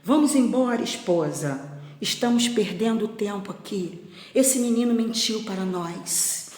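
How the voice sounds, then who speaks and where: A woman's voice: medium pitch, expressive, unhurried, a little mellow, close to an everyday voice. A woman voicing an indignant, scolding peasant man from a children's tale, with emphatic, reproachful delivery. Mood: disgusted. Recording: echoey, reverberant room.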